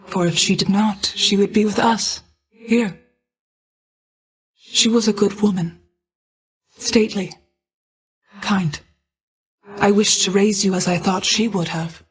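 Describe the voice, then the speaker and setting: A woman voicing a regal, ageless, motherly goddess of night in a video game, speaking in formal, measured, archaic phrasing. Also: mid-range voice, steady tone, husky. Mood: grave, sorrowful. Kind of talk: scripted video game voice acting.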